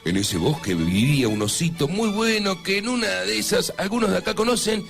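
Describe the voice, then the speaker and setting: A man's voice: sits high, animated, fairly quick, vocal fry, fairly bright tone, exaggerated voice. A man playing a sugary storyteller narrating a parody children's tale on radio, in childish wording. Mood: cloying, playful. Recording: music under the voice.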